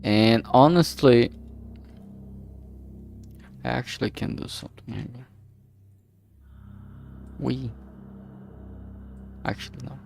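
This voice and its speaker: A man giving a casual, unscripted livestream update in a halting, rambling way, often trailing off mid-phrase. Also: medium pitch, vocal fry, darkish tone, a little hiss or hum. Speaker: streamer, visual novel maker.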